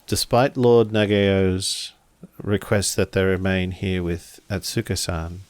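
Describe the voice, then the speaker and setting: A man acting as game master, narrating a tabletop roleplaying game in a deliberate, halting way and relaying what characters say. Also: deep voice, little pitch movement, darkish tone.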